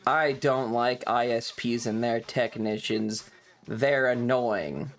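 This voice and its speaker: A man's voice: mid-range voice, slow pace. A man chatting casually as a livestream host, sweary and self-deprecating, sounding slightly tired. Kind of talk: casual rambling stream chat.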